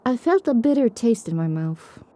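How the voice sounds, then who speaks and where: A woman's voice: on the high side, sing-song, moderate pace, warm tone, no room sound. A woman playing a reflective pony survivor, narrating her own story in a dramatic audiobook style. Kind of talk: dramatic first-person scripted narration.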